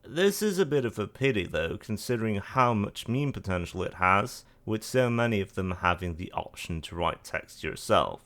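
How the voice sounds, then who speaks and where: Low-voiced man with lively, swinging intonation. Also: moderate pace, hardly any pauses. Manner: wry, sarcastic asides. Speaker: video host. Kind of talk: casual video essay narration.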